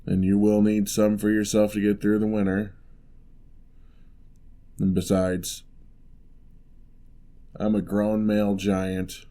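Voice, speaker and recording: A man playing a lonely, gentle male giant in a fantasy ASMR roleplay, speaking in a measured, wry, slightly formal way. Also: low voice, vocal fry. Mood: wry, considering.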